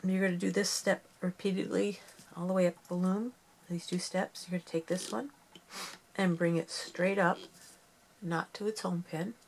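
A middle-aged woman with a stuffed, sniffly nose, talking softly and casually as she demonstrates a craft step by step at her table. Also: on the low side, steady tone, normal speed, poor audio. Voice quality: gravelly, creaky voice. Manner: talks softly while working with her hands, rambling and homey. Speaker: craft tutorial host.

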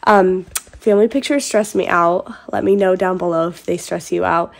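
A woman's voice: lowish voice, steady tone, normal speed, gravelly. A woman in her thirties, a little stuffed up, chatting casually to her phone camera in a home basement, rambling with frequent ums. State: stuffed up, congested. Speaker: vlogger. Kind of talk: casual vlog chat to camera. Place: home basement playroom, indoors. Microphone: phone held close to the face.

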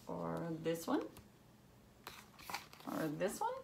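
A woman's voice: fairly low pitch, huge pitch swings, slow, creaky, noisy background. A woman in her thirties reading a picture book aloud to young children, with exaggerated wonder and lots of questions. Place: indoors, furnished living room.

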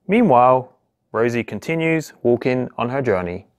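A man reads a story aloud to young children in simple, expressive narration, posing questions and exclaiming as he goes. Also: mid-range voice, moderate pace, clean tone. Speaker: police constable reading a children's story. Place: small indoor office or home room.

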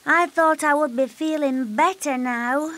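A woman voicing an earnest, demanding little princess in a childlike, halting preschool cartoon voice. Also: high voice, fairly bright tone. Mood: sad, wistful. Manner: slow, simple, halting child speech.

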